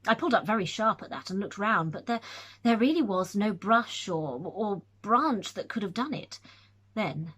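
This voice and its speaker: Brief: woman doing a breathless young academic recounting a scare, higher-pitched and animated, husky. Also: normal speed. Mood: eager. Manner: lively, excited storytelling with hesitations.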